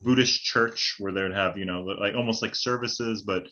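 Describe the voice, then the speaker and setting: A man's voice: fairly low pitch, moderate pace. A man from Hawaii and Northern California chatting casually as a podcast guest, full of "like" and "right?" fillers. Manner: relaxed, rambling, many fillers like "like" and "right?". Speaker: podcast guest and fellow host. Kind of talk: casual conversation. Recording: dull lo-fi audio.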